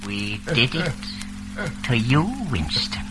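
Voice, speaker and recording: An actor playing a cold, fanatical party interrogator in a theatrical old radio drama, menacing and lecturing, given to ranting oratory. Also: male voice, mid-range voice, expressive, slow, music in the background. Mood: cruel, taunting. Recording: noisy vintage radio drama recording.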